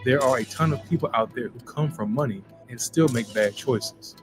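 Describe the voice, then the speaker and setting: Guy reading a script, steady and even, music clearly under him. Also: medium pitch, moderate pace. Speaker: video narrator. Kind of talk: scripted narration.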